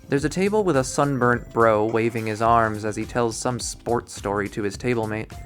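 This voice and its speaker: Male game readthrough narrator, mid-pitched, reading scripted prose with wry drama over background music. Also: a bit bright. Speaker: visual novel readthrough player and narrator.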